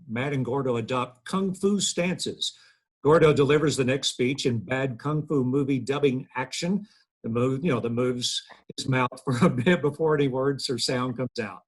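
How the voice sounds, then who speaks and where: A man's voice: medium pitch, moderate pace, husky. A man reading the stage directions at a table read, plain and matter-of-fact.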